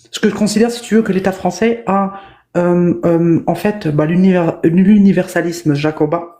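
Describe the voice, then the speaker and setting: A woman's voice: fairly low pitch, fairly even intonation, normal speed, warm tone. A woman debating in a casual online discussion, hesitant and full of fillers and restarts. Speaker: debate guest.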